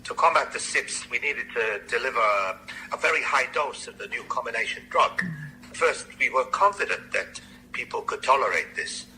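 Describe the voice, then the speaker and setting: An actor playing a grave, dejected medical researcher in a radio drama, halting and formal in his phrasing. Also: medium pitch, expressive, bright, forceful tone, faint music behind, low-quality recording.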